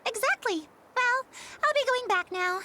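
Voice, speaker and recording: A woman's voice: extremely high pitch, expressive, measured pace, bright, forceful tone, slight background noise, full character voice. An actress voicing a devoted, sly young woman officer in an English-dubbed anime game, fawning and teasing. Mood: matter-of-fact. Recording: game music behind the voice.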